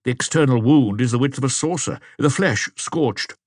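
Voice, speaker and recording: Male reader as old police doctor, raised pitch, brisk, clinical, spry, audiobook mystery, dry sound. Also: no room sound, close to an everyday voice. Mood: matter-of-fact.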